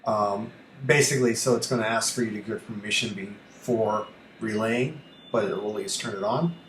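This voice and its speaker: A man thinking aloud through a casual screen tutorial as a hobby radio host, halting and muttering to himself as he works. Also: on the low side, a bit bright. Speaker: amateur radio YouTuber, host. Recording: echoey room with faint background noise.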